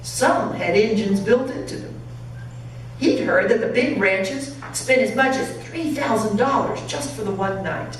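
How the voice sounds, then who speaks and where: A woman, a pastor, reading a story aloud in an expressive, dramatic narrating style. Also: medium pitch, unhurried. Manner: dramatic reading, acting out dialogue. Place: church sanctuary. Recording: very echoey hall, distant, faint noise.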